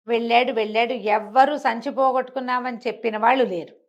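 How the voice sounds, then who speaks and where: A woman's voice: fairly high pitch, fairly even intonation, fast talker, muffled recording. A woman storyteller telling a bedtime folk tale conversationally, repeating and explaining small details as she goes.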